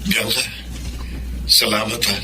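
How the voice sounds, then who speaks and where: A man's voice: lowish voice, little pitch movement, slow pace, sharp tone, a little hiss or hum, full character voice, poor audio. A man playing a remorseful husband in a Filipino radio drama, speaking earnestly and emotionally. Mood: grateful, subdued. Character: remorseful husband and newspaper artist confessing his mistakes.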